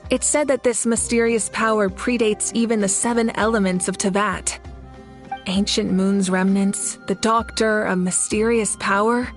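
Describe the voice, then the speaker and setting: An actress playing a noble woman leader in a video game, speaking in a measured, formal, assured way. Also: medium pitch, steady tone, close to an everyday voice. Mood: serious, resolute. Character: noble warrior woman leader, composed, dutiful, gracious. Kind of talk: video game character dialogue. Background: background music.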